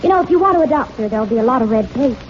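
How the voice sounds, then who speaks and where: A woman's voice: fairly high pitch, animated, very fast, lightly acted. Woman acting breezy lady cabbie, noisy old radio. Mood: breezy, teasing. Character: breezy, frank female novice cab driver. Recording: noisy, muffled 1940s radio recording.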